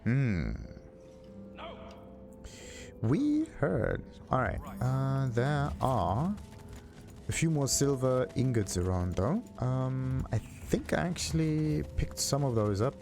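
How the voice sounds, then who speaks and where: A man giving casual game commentary as a let's play host, thinking aloud in a halting, puzzled way. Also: medium pitch, big pitch swings, darkish tone. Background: game music.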